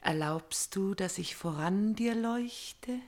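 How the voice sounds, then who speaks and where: Slow, fervent tragic princess, played by a woman. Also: medium pitch, lightly acted. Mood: mock servile. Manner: declamatory, fervent, deliberate. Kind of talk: intense classical German radio tragedy acting.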